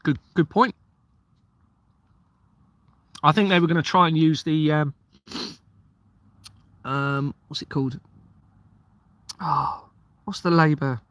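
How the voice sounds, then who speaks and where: Earnest rambling Englishman, bright forceful voice. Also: fairly high pitch, fairly even intonation, a little slow, long pauses, no room sound. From Kent, southeast England. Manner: rambling, earnest, loses his train of thought. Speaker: livestream host, former police officer. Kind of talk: casual livestream chat reading viewer comments.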